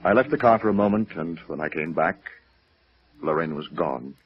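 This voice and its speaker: An actor playing a genteel, evasive company president in a 1950s radio drama, formal and hesitant, on a vintage recording. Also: male voice, low voice, lively intonation, moderate pace, lo-fi sound. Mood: wistful, hesitant. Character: genteel, evasive, wistful male brokerage president.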